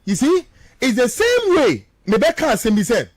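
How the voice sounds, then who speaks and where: A man's voice: extremely high pitch, wildly swooping pitch, a little slow, no room sound, clean studio sound. A Ghanaian man giving emphatic, repetitive political commentary as he switches between two languages, full of rhetorical turns.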